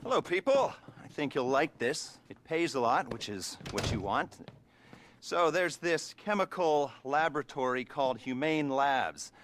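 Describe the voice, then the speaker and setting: A wry heist handler from a video game. His voice sits a little high, and he briefs his crew in a cocky, sardonic, chatty manner. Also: animated, unhurried, noisy background. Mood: wry, businesslike. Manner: sardonic, conversational, foul-mouthed. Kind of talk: video game cutscene acting.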